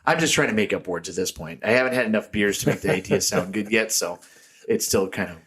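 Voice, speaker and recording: A man with a low, husky voice co-hosts a laid-back beer podcast. He rambles through jokey tangents and laughs often. The sound is tinny and echoey, from a small home studio room. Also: fast talker, fairly bright tone. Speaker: podcast co-host. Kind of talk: casual chat.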